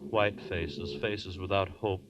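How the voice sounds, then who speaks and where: A man's voice: sits low, takes it slowly, very noisy. An actor playing a brooding accused murderer voicing his anguished thoughts, in dramatic 1940s radio style. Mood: haunted, brooding. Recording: dull old 1940s radio recording.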